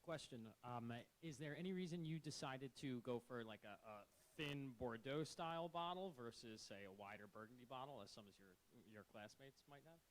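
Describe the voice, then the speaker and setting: A man's voice: mid-range voice, a little fast, few pauses, slight background noise, poor audio. A man on a review panel hesitantly asking a question about bottle shape. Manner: hesitant, with fillers.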